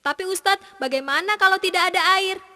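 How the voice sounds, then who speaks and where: A woman's voice: very high-pitched, a little fast, bright, forceful tone, big-room sound. A woman voicing a curious, polite young pupil in an educational cartoon. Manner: inquisitive, polite. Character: curious, eager young pupil in religion class.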